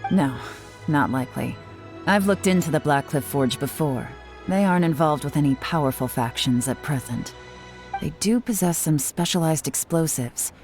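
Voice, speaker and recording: A woman acts out a shrewd video game investigator. The voice is low for a woman, composed and analytical. Lines come out measured and knowing over background music. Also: darkish tone, lightly acted.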